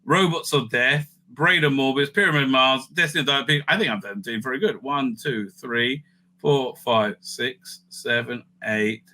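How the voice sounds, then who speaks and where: Bright-voiced man thinking aloud. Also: medium pitch, a little slow, poor audio. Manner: rambling, thinking aloud, self-interrupting. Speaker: video host ranking TV stories. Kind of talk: casual, off-the-cuff ranking commentary.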